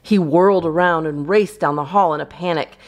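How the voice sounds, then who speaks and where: A woman's voice: deep voice, a little fast. A woman reading a children's storybook aloud expressively, as a storytime reader. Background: guitar music.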